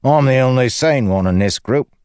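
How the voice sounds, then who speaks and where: A man's voice: mid-range voice, moderate pace, a little mellow, clean tone, dry recording, studio quality, pitched above the performer's own voice, lightly acted. A man voicing a cocky, chatty young male soldier in an audiobook, flippant and theatrical. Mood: breezy, boastful. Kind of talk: audiobook character acting.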